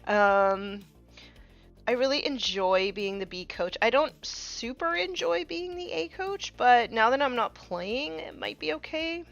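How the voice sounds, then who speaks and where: A woman's voice: fairly high pitch, lively intonation, measured pace, a bit bright, lo-fi sound, faint music behind. A woman in her thirties chatting casually while painting miniatures on a live stream, with many fillers, sometimes trailing off to a murmur.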